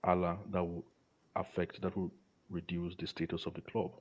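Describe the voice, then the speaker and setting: A man on a casual football panel, talking haltingly and often repeating the starts of his words. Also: low-pitched, completely flat delivery, husky. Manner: halting, stuttered word starts. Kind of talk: casual sports discussion.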